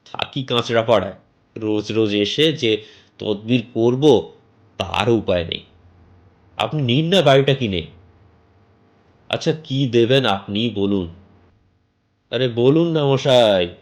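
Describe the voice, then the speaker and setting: A man's voice: medium pitch, slow pace, pauses often, natural voice. A man voicing a garrulous middle-aged house seller in an audio story, callous and grumbling, with wheedling, colloquial chatter. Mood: complaining, wheedling. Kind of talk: dramatised audio story dialogue.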